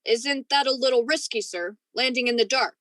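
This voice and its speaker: A woman's voice: on the high side, normal speed, fairly bright tone, dry recording, clean studio sound, lightly acted. A woman playing a dutiful but edgy ship's lieutenant in a radio drama, questioning and uneasy. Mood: dutiful, wary.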